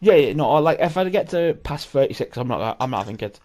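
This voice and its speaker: A man's voice: on the high side, lively intonation, fast talker, a little mellow. Swearing man in his thirties. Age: 30s. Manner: swearing, rambling, full of restarts. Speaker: podcast host. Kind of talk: casual chat.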